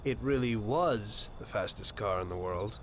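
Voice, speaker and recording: A man playing a casual, low-key young gangster in a crime video game. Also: measured pace, a bit bright, a little hiss or hum. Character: laid-back young American gangster, polite but reluctant.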